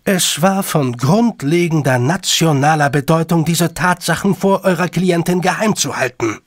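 A man acting a shrewd, condescending gentleman in an audio drama, with formal, measured phrasing and a creaky voice. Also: a little high, dry recording, studio quality, close to an everyday voice. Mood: reproachful. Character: shrewd, superior gentleman, elder brother of a detective.

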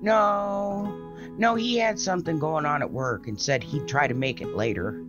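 A woman playing a gentle, affectionate elderly farm grandmother in an audio drama. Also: sits low, moderate pace, close to an everyday voice. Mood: gently weary. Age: older. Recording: muffled audio under guitar music.

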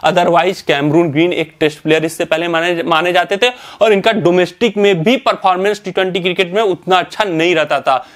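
A young man with a high voice talks through a cricket team lineup in front of a screen. He explains step by step, pausing on fillers and correcting himself as he goes. The sound is from an indoor studio. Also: fairly even intonation. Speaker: cricket news presenter. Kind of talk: cricket analysis talk to camera.

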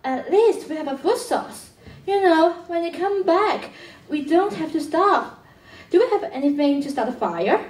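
A teenage girl plays a breezy, unflappable schoolgirl adventurer in a radio play. The voice is high, distant from the mic and echoing in a theatre. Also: animated, normal speed, darkish tone. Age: teens. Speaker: school drama performer. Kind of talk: radio drama acting. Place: stage of a studio theatre. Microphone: far camera. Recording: echoey theatre, distant microphone.

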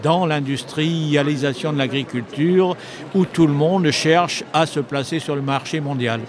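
An older man, a retired journalist, answering interview questions in a measured, explanatory way with frequent hesitations, at a book fair stand into a handheld mic. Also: a little high. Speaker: retired agricultural journalist, author. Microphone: handheld mic held by interviewer. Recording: noisy crowded hall. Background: crowd chatter.

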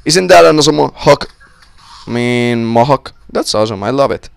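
Young male gamer, gravelly voice, a bit sick, close dry mic, rowdy casual commentary. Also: mid-range voice, moderate pace, no room sound. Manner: excitable, swearing, joking with friends. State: sick, voice a little off. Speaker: gameplay commentator. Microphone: close-up desk mic.

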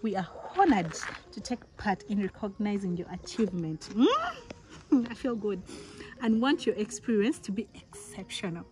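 A young woman from Uganda, a vlogger, unboxing outdoors in a village yard, talking with giddy excitement, laughing often and exclaiming. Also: mid-range voice, normal speed. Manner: giggly, breathless with excitement, frequent laughs and exclamations. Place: outdoors in a rural village yard, seated on a mat.

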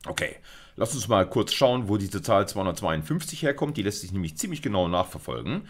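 A man's voice: on the low side, very animated, a little fast. A middle-aged man giving an informal video lecture, explaining conversationally with colloquial asides. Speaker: university lecturer. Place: indoors at a computer, recorded lecture.

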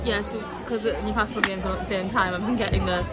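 A woman's voice: medium pitch, fairly even intonation, fast talker, loud music behind the voice. A woman, chatting casually as a family vlogger and often checking in with tag questions. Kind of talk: casual vlog chat with her child. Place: busy theatre, then inside a moving car. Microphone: phone at arm's length. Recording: noisy handheld recording with crowd and vehicle noise. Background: crowd chatter, car and ambient noise.

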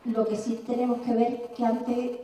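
A woman in her sixties from Andalusia with an Andalusian Spanish accent, giving a lively, chatty talk on music teaching and sometimes singing short examples. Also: fairly high pitch, measured pace, noisy background. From Andalusia, Spain. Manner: lively, chatty, sings examples, asks the audience. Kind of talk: conference presentation. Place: large conservatory auditorium, indoors. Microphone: handheld mic. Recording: very echoey hall, mic far off.

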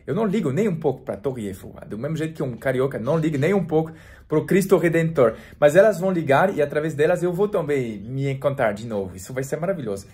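A man in his forties from France, speaking Portuguese with a French accent in a relaxed, chatty vlog to camera, full of restarts and fillers. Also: on the high side, fast talker. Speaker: French teacher and vlogger. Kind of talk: casual talk to camera.

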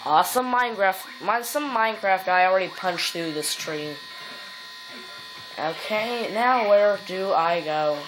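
A woman's voice: medium pitch, unhurried, background noise. A woman casually commenting on her gameplay, rambling with many fillers, giggles and silly rhymes, recorded on a phone at home. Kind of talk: casual let's play commentary. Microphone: phone filming the TV.

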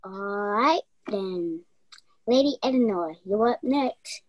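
Actress as a slow, husky, cool investigator. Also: female voice, mid-range voice, expressive, no echo, dull audio. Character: cool, condescending female investigator. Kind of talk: stage-style mystery radio play acting.

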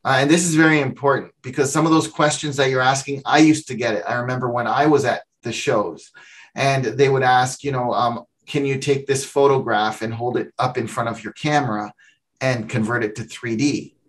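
A man's voice: medium pitch, fairly even intonation, brisk. A middle-aged man, a company founder, giving a long explanatory answer on a video call from his home office, talking with his hands.

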